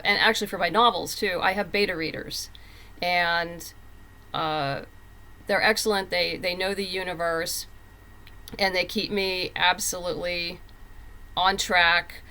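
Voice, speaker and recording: A woman's voice: on the low side, fairly even intonation, measured pace, sharp tone. A woman speaking as a writer guest in a casual interview, candid, emphatic and plain-spoken.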